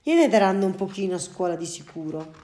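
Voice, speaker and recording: A woman's voice: fairly low pitch, sing-song, brisk, warm tone. A woman voicing a hungry male house cat who mutters and grumbles to himself in a storybook reading. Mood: grumbling. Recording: roomy echo.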